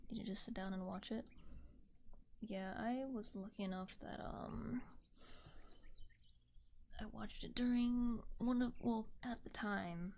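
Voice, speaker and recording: A woman streamer chatting softly and gently in an ASMR style, often slipping into a whisper, close to a binaural microphone. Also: mid-range voice. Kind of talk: soft ASMR livestream chat. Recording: muffled close binaural mic, clear background noise, clicks.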